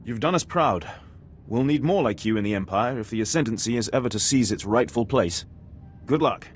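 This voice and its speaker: A man's voice: medium pitch, lively intonation, fairly quick, slight background noise, close to an everyday voice. An actor playing a stern, formal male military commander in a video game, giving briefings with authority. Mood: formal approval.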